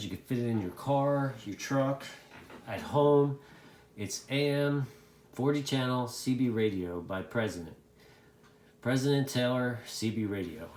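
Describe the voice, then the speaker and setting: A man giving a casual hands-on gadget review, haltingly thinking aloud as he demonstrates. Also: medium pitch, darkish tone. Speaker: product reviewer. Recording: echoey, roomy sound.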